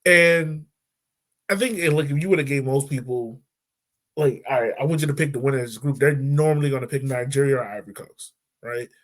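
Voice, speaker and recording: Casual man hosting football chat, mid-pitched voice. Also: a little fast. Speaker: podcast host discussing football. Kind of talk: casual conversational sports chat.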